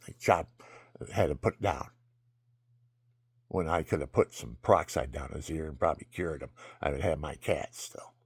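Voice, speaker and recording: A man telling a rambling personal story in a monologue, jumping between loud outbursts and low mutters. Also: breathy edge, no echo, clean studio sound. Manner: calls himself low-voiced.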